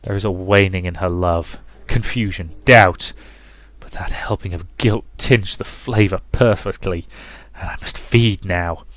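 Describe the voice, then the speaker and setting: A man voicing a seductive, obsessive male incubus in a visual novel, hushed and savouring each word. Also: low voice, unhurried, no room sound. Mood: hungry, obsessive. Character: seductive ravenous male incubus, breathy, gloating, obsessive lover. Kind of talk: dramatic visual novel reading.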